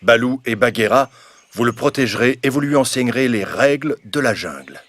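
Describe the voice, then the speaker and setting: A man's voice: mid-range voice, expressive, fairly quick, fairly bright tone, dry recording, natural voice. A man voicing a wise wolf leader in a children's storybook, solemn and instructing. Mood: solemn, commanding. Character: wise male wolf leader, grave and commanding. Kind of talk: storybook cartoon dialogue.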